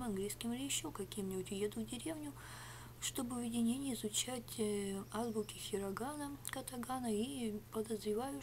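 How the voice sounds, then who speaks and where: A middle-aged woman whispers and murmurs in a sarcastic, rambling product review, her voice at an ordinary pitch. She is recorded close on a webcam in a small home room. A faint hum sits under her voice. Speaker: ASMR vlogger. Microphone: webcam at arm's length.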